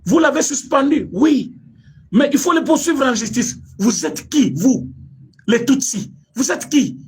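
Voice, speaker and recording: A man's voice: extremely high pitch, expressive, unhurried, slightly rough. A man from Congo with a Congolese French accent, giving impassioned political commentary full of rhetorical questions and switching between French and Lingala. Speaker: online commentator.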